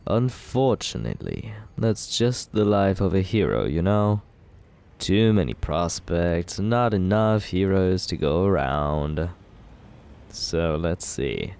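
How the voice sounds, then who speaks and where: A man's voice: low-pitched, creaky, a little mellow, full character voice. A young man playing a cocky male villain who courts the listener in an ASMR roleplay, teasing and laid-back as he justifies himself.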